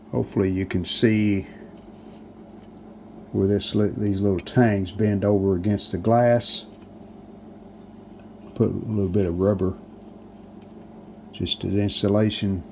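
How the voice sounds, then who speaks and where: A man narrating a hands-on restoration how-to in a casual, plainspoken way, often pausing mid-sentence. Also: lowish voice, creaky, darkish tone, a little hiss or hum. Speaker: host of a restoration how-to video.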